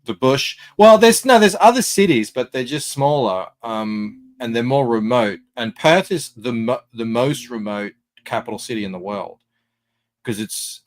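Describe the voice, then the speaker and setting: A man's voice: medium pitch, animated, a little slow. An Australian man chatting casually on a podcast, explaining things at length. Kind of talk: casual chat.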